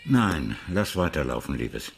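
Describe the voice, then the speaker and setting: An extremely deep, creaky male voice in a radio crime play. He is a gruff older police superior giving terse orders, with music behind. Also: big pitch swings, measured pace, exaggerated voice. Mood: terse, commanding.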